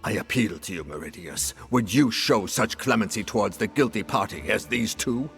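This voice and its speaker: An actor playing a stern, haughty official of a hunters' order in a fantasy game, speaking formally and accusingly. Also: male voice, lowish voice, moderate pace, vocal fry, fairly bright tone, faint music behind. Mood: haughty challenge. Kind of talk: dramatic fantasy game acting.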